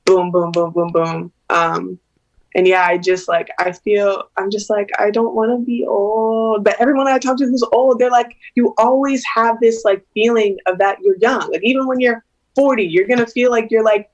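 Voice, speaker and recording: A woman's voice: medium pitch, steady tone, normal speed, highs cut off. A woman in her twenties, a singer and interview guest, talking casually on a video call, hesitant and giggly with many fillers. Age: 20s.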